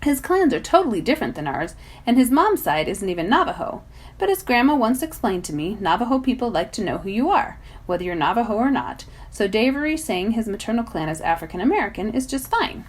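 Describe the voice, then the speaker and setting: A woman narrating a children's novel as a volunteer reader, in an expressive storytelling style. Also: fairly high pitch, a little fast.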